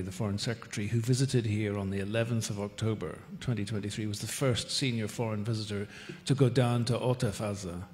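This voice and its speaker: Northern irish man, diplomat, somewhat low voice, deliberate, reverberant hall. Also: a little mellow. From Northern Ireland. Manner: measured, deliberate, with occasional repeated words. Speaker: British diplomat on a panel. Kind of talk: formal panel discussion.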